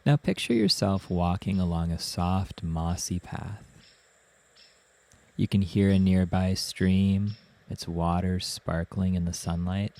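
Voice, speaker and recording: Slow, deep-voiced man guiding meditation. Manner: soothing, gentle, unhurried instruction with long pauses. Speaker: meditation guide. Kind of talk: guided meditation narration.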